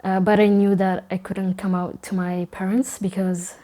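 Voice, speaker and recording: A young woman from Italy, calmly telling her own story with some fillers. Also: medium pitch. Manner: calm, measured, with fillers. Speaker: documentary interviewee. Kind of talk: personal testimony.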